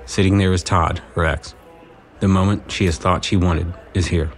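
A man's voice: low voice, steady tone, moderate pace, a little hiss or hum. A man reading scripted narration for a romantic comedy audio drama.

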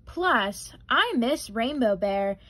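A woman's voice: fairly high pitch, expressive, takes it slowly, a bit bright, husky, exaggerated voice. A woman voicing a fussy toy flamingo who complains in a children's storybook. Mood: plaintive.

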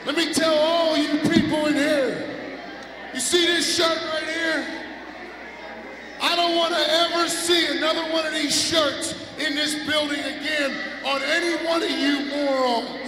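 A man giving a belligerent, insulting wrestling promo as a villain, shouting out his taunts. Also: very high voice, fairly even intonation, measured pace. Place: indoor wrestling arena. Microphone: handheld through PA. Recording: echoey hall PA, crowd noise.

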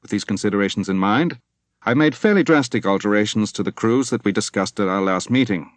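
A man's voice: on the low side, no room sound, close to an everyday voice. A man playing a commanding senior naval officer. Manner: formal, measured, emphatic.

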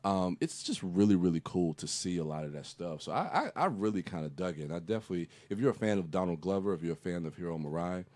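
A man's voice: deep voice, expressive, fast, hardly any pauses, darkish tone, dry recording. A man on a podcast giving a rambling, casual film review full of fillers and restarts, with a slight cough as he gets over a cold. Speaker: podcast co-host.

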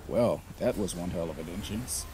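A man's voice: low-pitched, normal speed, noisy background. A young man giving sarcastic, trash-talking commentary while playing a game. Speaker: gamer. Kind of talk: gameplay commentary.

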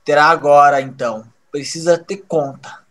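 Man playing a high-pitched young hacker. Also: fairly bright tone, lightly acted. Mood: subdued, affectionate. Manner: halting, repeats words when pressed. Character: resentful young male hacker, a washerwoman's son. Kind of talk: audio drama acting.

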